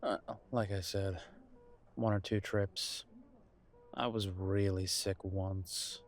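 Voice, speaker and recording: A man acting in an intimate audio roleplay as a nervous, reassuring grown son, speaking haltingly and affectionately to his partner. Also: low-pitched, steady tone. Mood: reflective, uneasy. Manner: hesitant, halting, sighing delivery with soft chuckles.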